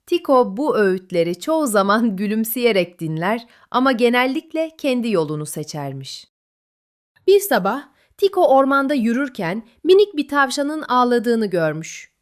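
Woman storyteller, higher voice, sing-song bedtime reading. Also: very animated, moderate pace.

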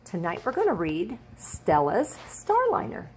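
A woman's voice: medium pitch, wildly swooping pitch, a little slow, a little mellow, a little hiss or hum. An older woman storyteller reading a children's picture book aloud. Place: indoors, at a desk at home.

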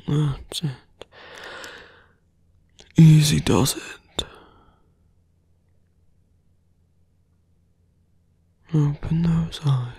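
A man playing a seductive, teasing fantasy villain in an ASMR roleplay, breathy and often whispering, with a languid, smugly menacing, taunting manner. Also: a little high, steady tone, drawn-out words, mellow, no room sound, full character voice. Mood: coaxing, teasing.

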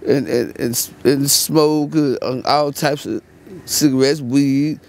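A man in his late thirties from Fort Worth with a Texan accent, talking in a relaxed, rambling way outdoors at dusk. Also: medium pitch, takes it slowly, gravelly. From Fort Worth, Texas. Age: late 30s. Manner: laid-back rambling, frequent "you know what I'm saying". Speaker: interviewee. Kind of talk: casual street interview. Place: outdoors at dusk in a residential yard. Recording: faint outdoor street noise.

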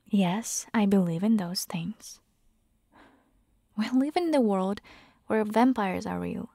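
A very unhurried, soft and breathy female voice, often sinking into a whisper with a crackle of fry. A woman plays a caring, gently bossy vampire hunter girlfriend in an intimate ASMR roleplay. The sound is close and dry, tender with a teasing edge. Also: mid-range voice, no room sound. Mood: mildly annoyed. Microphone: close microphone.

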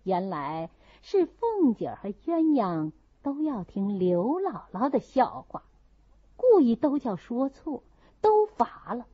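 A woman narrating a classic novel as an expressive audiobook reading. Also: a little high, takes it slowly, breathy edge, no room sound. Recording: dull, muffled audio.

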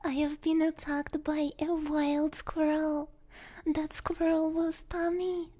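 Very high, soft-spoken woman doing ASMR. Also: flat delivery, slow. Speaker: ASMR streamer, host. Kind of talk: ASMR livestream chat, drifting into whispers. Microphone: close binaural stereo microphone.

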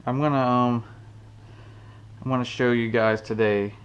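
A man's voice: medium pitch, fairly even intonation, unhurried, clear, resonant tone, highs cut off. A man hosting a fishing channel, casually demonstrating a knot in his garage while counting the steps aloud. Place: cluttered garage, indoors. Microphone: head-mounted action camera.

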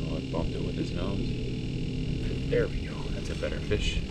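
A young man vlogging a day of ice fishing on a frozen lake, talking to himself and the fish in a low-key way. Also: steady tone, normal speed, vocal fry. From Wisconsin. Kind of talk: casual running commentary while ice fishing. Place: frozen lake outdoors, then inside a small ice shanty. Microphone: action camera on the ice. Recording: distant camera mic, loud wind noise.

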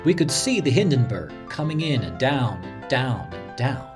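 A man's voice: mid-range voice, sing-song, normal speed, over music, natural voice. A man playing an earnest radio reporter, dramatically recalling a disaster he witnessed, in a first-person story. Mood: grave recollection. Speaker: narrator of a radio reporter's memories.

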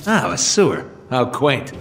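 An actor voicing a manic, foul-mouthed, wisecracking mercenary antihero in a video game, brash and goofy. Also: male voice, mid-range voice, wildly swooping pitch, unhurried, slight background noise. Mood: sardonic. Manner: brash, goofy, swooping from mutters to shrieks. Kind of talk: video game cutscene voice acting. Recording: loud music and effects behind.

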